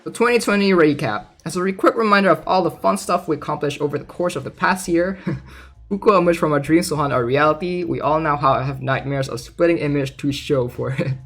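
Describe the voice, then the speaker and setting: A man's voice: fairly high pitch, fairly quick, fairly bright tone. A man casually reading aloud and commenting in an informal, chatty way, like a gaming content creator. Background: background music.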